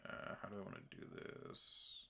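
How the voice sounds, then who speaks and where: A young man with a deep voice giving chatty, self-deprecating commentary while streaming a game. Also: normal speed, pushed and bright, slight background noise. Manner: chatty and self-deprecating, with filler words and laughs. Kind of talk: casual gameplay commentary.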